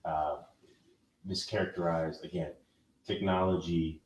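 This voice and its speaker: A man's voice: low voice, flat delivery, a little slow, reverberant, recorded from a distance, poor audio. A man hosting a podcast, lecturing in an emphatic, preachy way during a casual discussion. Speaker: podcast host.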